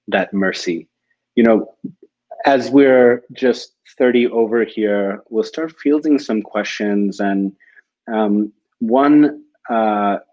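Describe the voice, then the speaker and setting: A man with a fairly low voice moderates a panel, speaking in a relaxed, chatty way as he brings in the next question. His audio sounds dull and lo-fi. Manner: relaxed, conversational, fields questions. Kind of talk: panel discussion.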